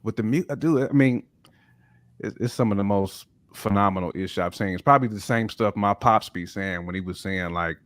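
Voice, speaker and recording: A man's voice: fairly low pitch, normal speed, fairly bright tone, no room sound. A man co-hosting a podcast, chatting casually and enthusiastically about hip hop with plenty of slang. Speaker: podcast co-host.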